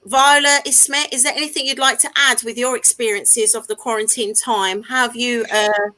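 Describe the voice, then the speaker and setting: A woman's voice: on the high side, steady tone, moderate pace, bright, forceful tone, low-quality recording. A woman hosting an online talk show, encouraging her guests and translating their answers. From Malta. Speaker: host.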